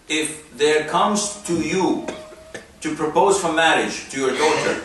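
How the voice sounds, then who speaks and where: A man from Saudi Arabia, giving a religious lecture as an Islamic preacher in an emphatic, deliberate way with rhetorical questions. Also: on the high side, a bit bright. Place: mosque hall, talk to an audience. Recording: distant, very echoey room, faint noise.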